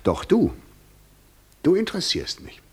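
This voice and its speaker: A man's voice: high-pitched, huge pitch swings, unhurried, slightly rough, full character voice. A man acting the Devil in a German radio play, proud, sardonic and eloquent, with grand, ironic delivery. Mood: musing, grandiose. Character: the Devil, proud, sardonic, worldly-wise old tempter.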